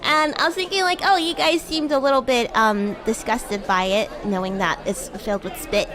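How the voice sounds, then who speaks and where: Woman playing a high-pitched bratty demon girl. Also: fairly even intonation, normal speed, a bit bright, some chatter behind, natural voice. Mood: chatty, playful. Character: bratty young female demon classmate, haughty yet sweet. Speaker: streamer, VTuber host. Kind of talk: improvised in-character roleplay chat with viewers.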